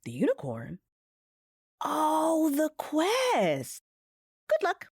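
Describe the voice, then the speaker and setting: A woman's voice: high-pitched, wildly swooping pitch, extremely slow, dry recording, studio quality, heavily acted. A woman voicing a tiny, cheeky imaginary teddy bear in an audio drama, coy and sing-song. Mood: delighted. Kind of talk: audio drama character acting.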